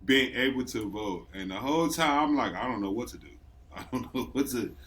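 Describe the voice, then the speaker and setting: A man's voice: mid-range voice, expressive, brisk, a bit bright. A man co-hosting a podcast in loose, slangy casual chat, laughing often. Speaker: podcast co-host.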